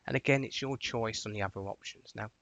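A man narrating a step-by-step software tutorial in an informal, chatty instructional way. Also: lowish voice, fast, dry recording. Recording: dull, lo-fi, dry close recording.

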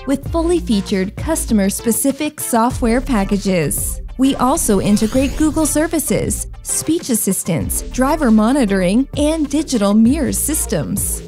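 A woman reading scripted corporate narration in a polished, promotional manner. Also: sits high. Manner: polished, even promotional delivery. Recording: voice-over over loud music.

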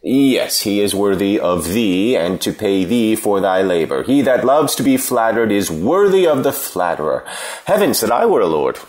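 An actor playing a churlish cynic philosopher in a Shakespeare reading, answering with biting contempt. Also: male voice, lowish voice, very animated, normal speed, darkish tone. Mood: scornful, biting. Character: Apemantus, scornful churlish cynic philosopher, male. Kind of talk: unrehearsed Shakespeare play reading.

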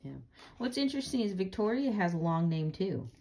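A woman's voice: medium pitch, animated, brisk, background noise. A woman reading a storybook aloud and casually explaining it in simple words for children.

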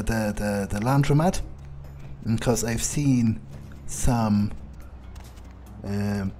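A man's voice: medium pitch, mellow. Male gamer staying in character, mid-range voice, blunt and foul-mouthed, faint music underneath. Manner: blunt, sweary. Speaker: game player speaking as his character. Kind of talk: in-character game roleplay commentary.

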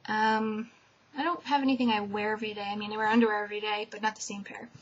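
A young woman from Connecticut answering a tag video's questions in a casual chat, with lots of "um" fillers, her voice trailing off softly at sentence ends. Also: medium pitch, flat delivery, fast, slight background noise. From Connecticut, USA. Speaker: vlogger. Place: dim bedroom, indoors. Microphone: webcam. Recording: dull lo-fi webcam audio.

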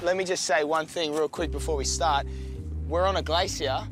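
An excitable man presents a travel show from outdoors on a glacier, speaking into a lapel mic. Loud music plays underneath his voice throughout. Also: fairly high pitch, moderate pace. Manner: excitable, exclaiming. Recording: music bed behind.

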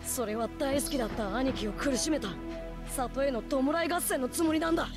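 An actor voicing a brash, earnest young male ninja hero in an anime game, with rough, boyish, informal speech. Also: high voice, animated, a little fast. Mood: serious. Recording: game dialogue over music.

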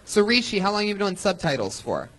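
Male live comedy host, teasing banter, animated delivery, slightly high pitch. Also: fast talker, a crowd in the background. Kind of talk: quick bantering interview. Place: indoor comedy club stage, at a table.

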